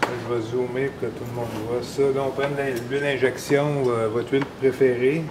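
A man's voice: medium pitch, little pitch movement, normal speed, background noise. A man in his forties with a Québécois French accent, explaining a repair step by step in a casual, rambling way with fillers, recorded in a workshop. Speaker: snowmobile mechanic. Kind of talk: hands-on repair tutorial. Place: indoor workshop garage.

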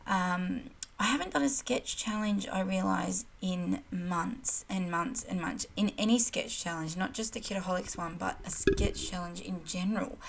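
Croaky, chatty woman. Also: on the low side, normal speed, a little hiss or hum, poor audio. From Australia. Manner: chatty, rambling, self-deprecating, many ums. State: croaky, losing her voice. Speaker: kit club design team crafter. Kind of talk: casual scrapbooking voiceover. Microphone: voiceover recorded separately from hands-on video.

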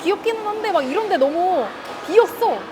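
A woman's voice: extremely high pitch, lively intonation, brisk. A woman chatting casually as a vlog co-host, with a playful, bantering manner. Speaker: vlog co-host, wife in a couple channel. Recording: busy noisy urban public places.

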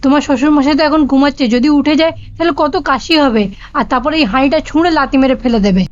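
A woman's voice: high-pitched, fast talker, dull audio, lightly acted. A woman playing a hot-tempered, scolding mother-in-law in a cartoon, with a dramatic, exasperated delivery. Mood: angry. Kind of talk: cartoon voice acting.

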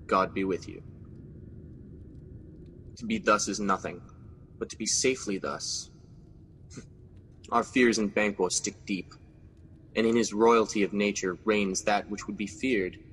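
A man acting as a newly crowned, ambitious king in an amateur radio drama, speaking verse in a measured, regal way. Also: fairly low pitch, a bit bright, in a large hall, slight background noise.

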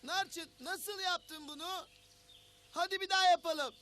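A man voicing an excitable, mischievous young animal in a children's cartoon, eager and playful. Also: very high-pitched, unhurried, slight background noise, heavily acted. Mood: eager coaxing. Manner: eager, playful, makes sound effects.

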